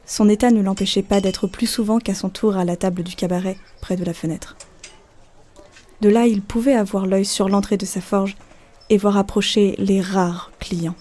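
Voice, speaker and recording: Mellow, slightly husky woman storyteller. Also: medium pitch, steady tone, moderate pace. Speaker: narrator. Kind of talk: scripted storytelling narration of a folk tale. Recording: faint music behind. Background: faint music.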